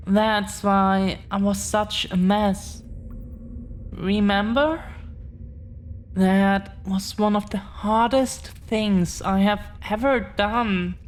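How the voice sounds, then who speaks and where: A woman's voice: medium pitch, a little hiss or hum. A woman playing an affectionate, earnest dragon-blooded girlfriend in an intimate audio roleplay, confessing to the listener in a hesitant, tender way.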